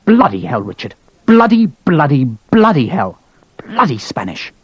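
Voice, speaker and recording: A man's voice: on the high side, big pitch swings, moderate pace, dry recording, dull audio. A man voicing a furious, exhausted British cavalry colonel who rants and curses. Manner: ranting, shouting, cursing.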